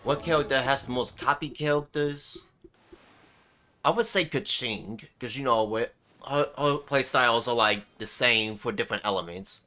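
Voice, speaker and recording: A man's voice: medium pitch, normal speed, sharp tone. A man hosting a casual gaming livestream, talking in a laid-back, slangy way. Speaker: livestream host.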